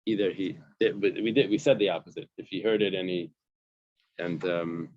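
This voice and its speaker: A man's voice: deep voice, steady tone, normal speed, a little mellow, dry recording. A man casually leading a Talmud study session, reading phrases and explaining them. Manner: explains a text, reads Hebrew phrases and translates. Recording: dull dry lo-fi sound.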